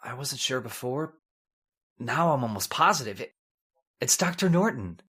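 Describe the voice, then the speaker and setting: A woman's voice: rumbling low, lively intonation, moderate pace, fairly bright tone, slightly rough, full character voice. A woman playing a worried, agitated husband in an old-time radio horror play, urgent and earnest with stammers. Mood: insistent.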